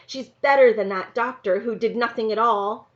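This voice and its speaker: A woman's voice: fairly high pitch, normal speed, lightly acted. A woman reading the part of an earnest, defiant young country girl in a storybook, pleading and insistent. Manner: pleading, insistent, breathless run-on sentences. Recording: slightly dull, lo-fi sound.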